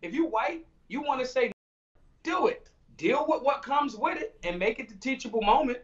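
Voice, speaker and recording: A man's voice: very high voice, animated, normal speed. A man in his forties, a guest on a video call, talking emphatically and acting out quoted exchanges. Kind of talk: casual video-call chat. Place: small room indoors. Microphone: video call webcam. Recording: muffled distant video-call audio.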